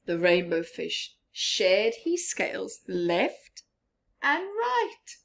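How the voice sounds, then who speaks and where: A woman reading a children's storybook aloud expressively, with chatty asides and often hushed tones. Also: a little high. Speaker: storyteller, teacher-like reader.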